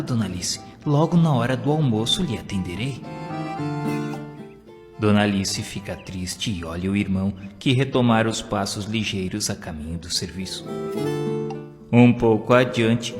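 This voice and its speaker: A man with a Brazilian accent reading devotional stories and poetry as a narrator, in a solemn, expressive, declamatory way. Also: fairly low pitch, normal speed. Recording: long reverb, guitar music behind. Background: plucked guitar music.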